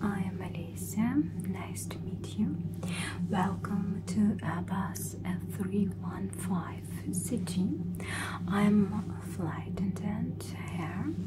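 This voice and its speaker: A woman in her thirties whispering breathily in an ASMR flight attendant roleplay, with halting, hesitant phrasing, close to the microphone. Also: mid-range voice, heavy background noise.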